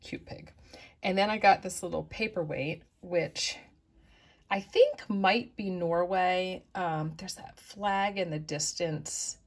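A middle-aged woman chatting easily through her thrift and flea market finds, with soft asides. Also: fairly low pitch, slow pace. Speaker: vlogger showing thrift finds. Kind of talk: casual show-and-tell narration. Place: indoors at a table, close-up of hands.

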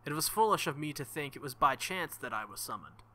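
A man voicing an earnest, protective young female knight with formal, measured speech in a visual novel. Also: on the high side, expressive, sharp tone. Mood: solemn, explaining.

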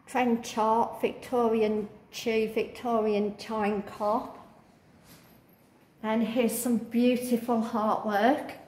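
A woman vlogger talking through a museum and garden on a walking tour, halting with many fillers. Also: a little high, flat delivery, darkish tone, reverberant, poor audio. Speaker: travel vlogger. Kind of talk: casual walking tour commentary. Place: indoor museum and outdoor garden.

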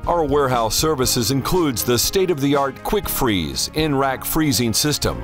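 A man narrates a commercial in a polished announcer voice, his pitch rising and falling lively. Music sits clearly under him. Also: unhurried. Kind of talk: scripted ad voice-over.

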